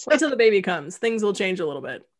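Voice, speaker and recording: A woman in her early forties hosting a relaxed interview over a video call from home, joking and laughing along. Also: medium pitch. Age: early 40s. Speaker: host. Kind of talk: casual interview chat. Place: home room, indoors.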